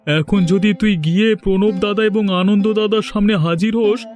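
A man's voice: high voice, steady tone, brisk, darkish tone, faint music behind, natural voice. An actor playing an encouraging, loyal male friend in a cartoon, supportive and eager. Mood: reassuring. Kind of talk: cartoon moral story voice acting.

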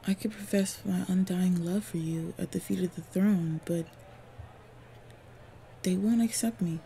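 A woman playing a devoted, protective female orc warrior lover in an intimate fantasy roleplay audio, speaking softly, earnestly and often breathily. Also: on the low side, fairly even intonation, a little slow. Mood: worried, hesitant. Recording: clear background ambient noise. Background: ambience sound effects.

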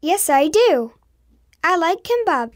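Eager small cartoon child acted by a woman, high and very slow, careful lesson lines. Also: sing-song, heavily acted. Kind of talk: slow, simple scripted lesson dialogue.

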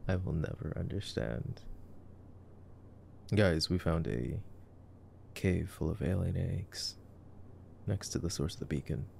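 A man's voice: sits low, lively intonation, slow, gravelly, pauses often, a little mellow, a little hiss or hum. A young man giving casual ASMR movie commentary in a laid-back, joking, murmured way. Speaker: ASMR boyfriend roleplayer commenting on a film.

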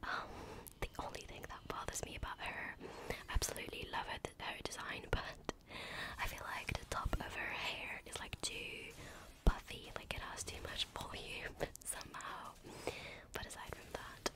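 Whispering woman, French native speaker. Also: moderate pace. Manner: soft whisper, chatty with many fillers. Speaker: gaming ASMR creator. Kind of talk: whispered ASMR gameplay chat. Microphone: desk microphone.

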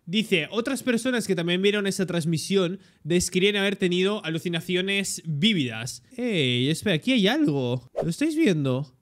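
High-voiced young man from Spain, reacting. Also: moderate pace, few pauses. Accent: Peninsular Spanish. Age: young adult. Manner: excitable, interjecting. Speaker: reaction video host. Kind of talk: reaction commentary, translating aloud. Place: indoor room, webcam reaction setup. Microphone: desktop streaming microphone.

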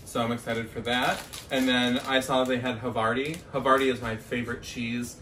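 A young man talks casually and effusively as a vlog co-host. His voice is mid-pitched. It is picked up from a short distance in a roomy space with faint noise. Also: level tone, moderate pace, bright, forceful tone. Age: young adult. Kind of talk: casual grocery haul chat to camera. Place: small kitchen at home, indoors. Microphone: camera a few feet away.

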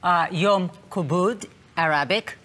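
Slow-speaking woman guest. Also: on the low side, fairly bright tone, slight background noise. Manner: slow, deliberate, listing languages.

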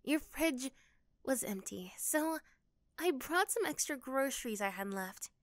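A woman voicing a sweet, caring, shy young neighbour girl in an ASMR roleplay, gentle and hesitant. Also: high voice, expressive, measured pace, no room sound, studio quality, voice pushed up.

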